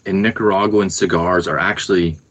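A man's voice: low-pitched, steady tone, normal speed, fairly bright tone, no echo. A man chatting casually as a musician guest on a podcast over a remote call. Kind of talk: casual chat. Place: remote Skype call from Canada.

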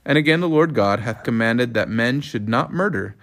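A man reads scripture aloud in a mid-range voice. There is a clear creak and gravel in the tone. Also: medium pitch, animated, moderate pace, fairly bright tone. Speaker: family member reading scripture aloud.

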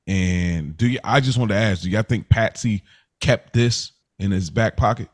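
A man with an American accent and a fairly deep voice leads a casual recap discussion. His phrasing is informal, and he often puts questions to the others at the table. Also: normal speed. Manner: informal, poses questions to co-hosts. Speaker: podcast host.

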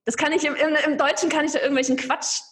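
A young woman talking casually as a podcast guest, lively and chatty with many fillers and restarts. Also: high-pitched, fast talker. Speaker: podcast guest, online course creator.

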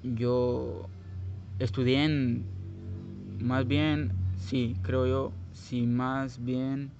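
Casting: young Guatemalan man, loose rambling storyteller, mid-pitched, dull home-mic sound. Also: a little mellow. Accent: Guatemalan Spanish. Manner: rambling, hesitant, many restarts and fillers. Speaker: podcast host. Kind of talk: casual personal storytelling.

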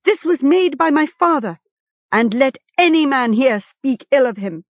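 A woman's voice: high-pitched, lively intonation, a little slow, no echo, dull audio, voice pushed up. A woman voicing a frightened but defiant boy in a storybook, with indignant, emphatic protests. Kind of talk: storybook character acting.

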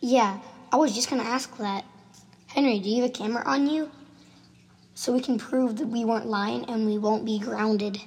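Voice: mid-range voice, fairly even intonation, normal speed, darkish tone. A performer playing an earnest, insistent schoolboy in an amateur radio drama. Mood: nervous, urgent. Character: Timmy, earnest schoolboy who insists on what he saw. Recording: home recording with clear noise and clicks.

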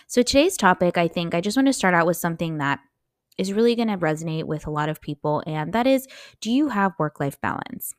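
American woman podcaster with a lowish, dry-recorded voice. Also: no echo. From United States. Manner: chatty, enthusiastic, emphatic. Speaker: podcast host and life coach. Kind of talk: informal solo podcast talk.

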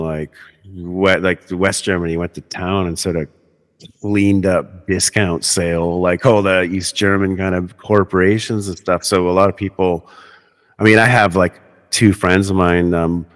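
A man with a deep, low voice hosts a podcast in loose, easygoing conversation. He rambles, piling up "like" and "uh" and restarting his sentences as he goes. His voice rings out with a long reverb tail. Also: little pitch movement, unhurried. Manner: rambling, many fillers and restarts. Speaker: podcast host. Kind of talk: casual conversation.

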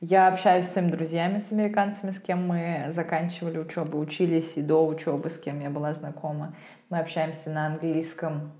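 A woman from Russia, an English teacher co-hosting a podcast, telling stories in an animated, emphatic way. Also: low voice, fairly quick. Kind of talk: casual podcast chat. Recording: roomy echo.

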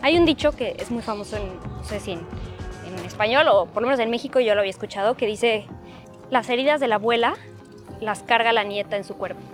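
A thirty-year-old Mexican woman speaking reflectively as an interview guest, with pauses and tag questions. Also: fairly high pitch, faint music behind. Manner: thoughtful, hesitant, many fillers and rhetorical "¿no?". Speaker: guest, psychology student. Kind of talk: reflective conversation. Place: outdoors, walking in a cemetery.

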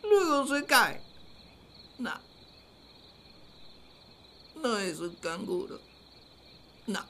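A woman plays a naive, puzzled first man in a radio play, full of his own importance. The delivery is slow and swings very widely in pitch. He ponders like an amateur naturalist, pompous and perplexed. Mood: baffled. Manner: theatrical, perplexed, pompous musing. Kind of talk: radio drama diary monologue.

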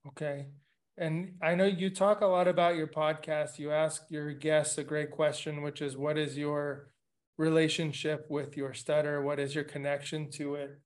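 A man's voice: fairly high pitch, level tone, normal speed. A middle-aged man from Latin America hosting an interview podcast from his living room over a video call, asking long questions with frequent restarts. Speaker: podcast host.

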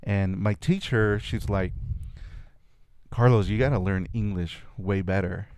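A man's voice: lowish voice, steady tone, unhurried, a little mellow, clear, resonant tone, no room sound. A man whose first language is Spanish, a guest reflectively telling stories from his childhood in a casual conversation. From California. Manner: reflective storytelling with frequent restarts.

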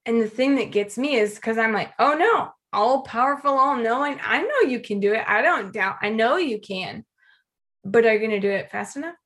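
A woman co-hosting a faith-based podcast, reflective and quick to back her partner up with soft affirmations. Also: a little high, lively intonation. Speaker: podcast co-host.

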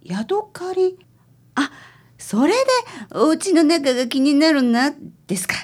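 A woman's voice: sits high, lively intonation, a little slow, a little mellow. A woman playing a polite, worried client of a detective in a radio drama. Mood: relieved, puzzled.

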